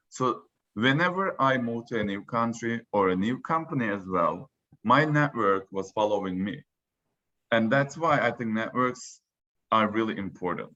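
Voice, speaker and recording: A man's voice: fairly low pitch, bright, forceful tone. A man from Turkey, a native Turkish speaker, chatting on a video call panel with many "like" fillers. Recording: dull lo-fi call audio.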